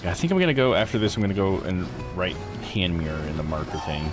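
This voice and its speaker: A man's voice: low-pitched, animated, fast. A man live streaming a visual novel, rambling and thinking aloud in casual commentary. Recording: game music behind voice. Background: video game music.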